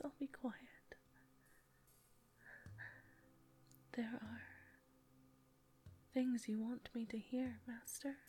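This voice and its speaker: A woman playing a young pregnant captive woman who is tearful and devoted, speaking in a soft breathy whisper with halting, repeated words. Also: a little high. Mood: submissive. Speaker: audio roleplay performer. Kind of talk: intimate audio roleplay.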